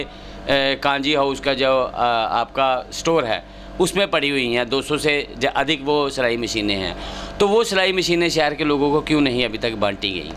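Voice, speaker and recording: Male reporter, mid-pitched, over background noise. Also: fairly bright tone. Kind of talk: news interview questioning.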